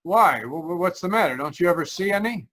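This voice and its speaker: Man acting a higher-voiced, uneasy motorist in radio mystery. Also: animated, brisk, a bit bright. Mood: nervous, probing. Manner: hesitant, dramatic storytelling with anxious stammers. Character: uneasy male motorist recounting an eerie road trip. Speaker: actor, lead narrator. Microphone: remote video call.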